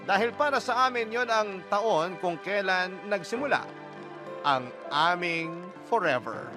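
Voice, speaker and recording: A man's voice: high voice, expressive, a little slow. A man with a Filipino accent telling a personal story as a radio storyteller, in dramatic narration. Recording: music bed under narration. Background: background music.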